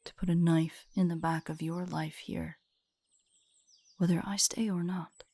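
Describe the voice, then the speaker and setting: Woman voicing a slow, husky, haughty spider-woman. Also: lowish voice, fairly even intonation, warm tone, no room sound. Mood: grave, cautioning. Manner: formal, measured, haughty. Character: aloof, guarded female drider, ancient, reluctantly fond. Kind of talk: intimate audio roleplay acting.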